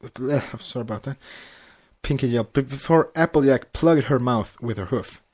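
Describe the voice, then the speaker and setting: A man's voice: mid-range voice, moderate pace, a little mellow. A man narrating a story aloud as an amateur podcast host, tripping over words and correcting himself. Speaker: podcast host reading fan fiction aloud.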